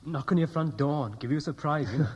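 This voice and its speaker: A man's voice: fairly high pitch, quick pace, breathy edge. An actor playing an eager, dutiful, unworldly Welsh groom in his early twenties, boyish and hesitant, in a 1960s radio drama. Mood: hesitant, wistful. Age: young adult.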